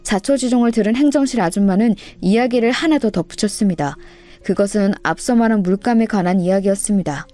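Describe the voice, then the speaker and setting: A woman's voice: mid-range voice, fast, mellow, faint music behind. A woman narrating a scripted listener story in a radio-like style, as the storyteller of the channel.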